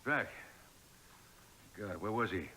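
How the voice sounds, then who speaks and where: A man's voice: fairly low pitch, animated, takes it slowly, bright, forceful tone, slight background noise, exaggerated voice. An actor playing a stern father in a soap opera, commanding and cold in manner. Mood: fatherly.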